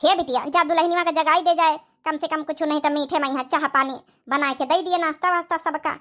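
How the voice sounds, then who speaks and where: A woman's voice: high-pitched, fairly even intonation, quick pace, a bit bright. A woman voicing a fretful, peacemaking village mother-in-law in a comedy cartoon, coaxing and rustic, with an Awadhi accent.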